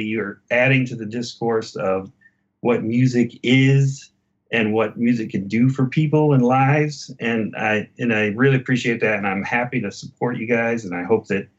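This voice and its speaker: A man speaking as a podcast guest in an earnest, measured and casual conversational way. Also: on the low side, dull audio. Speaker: podcast guest, former radio host.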